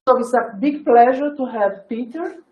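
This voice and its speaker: Woman, event host, halting delivery, frequent fillers, slightly high voice, echoey room. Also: dull audio. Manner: halting, searching for words, many fillers. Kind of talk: introducing a speaker.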